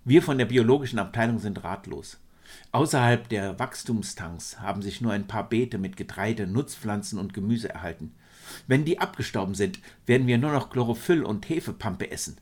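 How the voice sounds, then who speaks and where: A man's voice: lowish voice, darkish tone, breathy edge. A man voicing a baffled, despondent male biologist in a science fiction story, earnest and pleading.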